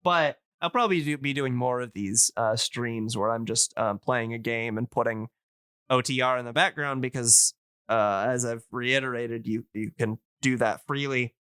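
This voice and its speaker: A man with a clean, smooth voice and a mid-range pitch talks casually on a podcast. He is keen but halting, often feeling for the next word. The sound is dry and close. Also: fairly bright tone, no echo. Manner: hesitant, searching for words, enthusiastic.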